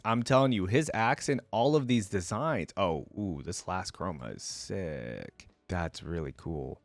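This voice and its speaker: A man's voice: on the low side, dry recording. A man giving casual reaction commentary as a video host, slangy and thinking aloud as he weighs his opinions.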